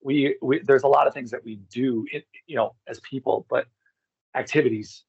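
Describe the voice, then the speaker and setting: A man's voice: mid-range voice, steady tone, moderate pace, vocal fry, darkish tone, slightly rough, no room sound, highs cut off. A middle-aged man telling personal stories in a candid, rambling, profane way as an interview guest. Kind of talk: casual personal storytelling.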